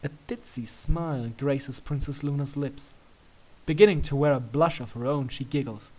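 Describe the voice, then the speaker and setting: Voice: medium pitch, normal speed, a little mellow, poor audio. A narrator reading a scripted story aloud.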